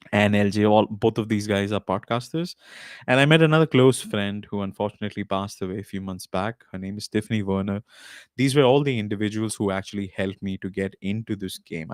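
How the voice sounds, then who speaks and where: A man with a fairly low voice hosts a live podcast stream from a small home setup. He talks in a loose, rambling way, full of "uh"s and restarts. The desk mic sound is dry and close. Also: clean tone, dry recording. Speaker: podcast host. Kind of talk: casual live-stream talk. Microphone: desk mic with podcast recorder.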